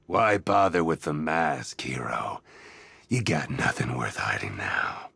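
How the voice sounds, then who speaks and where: A bitter game villain out for revenge. His voice is low and very raspy, theatrical and menacing, swinging widely between growl and snarl. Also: animated, takes it slowly, bright, forceful tone, dry recording, heavily acted. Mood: cold threat. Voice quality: gravelly, rasping. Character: bitter, vengeful, gravel-voiced male crime boss villain. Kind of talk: video game villain dialogue.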